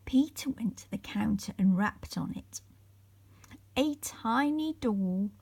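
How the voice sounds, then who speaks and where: A woman reading a children's bedtime story aloud in a soft, breathy storytelling voice. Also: mid-range voice, no room sound. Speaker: story reader.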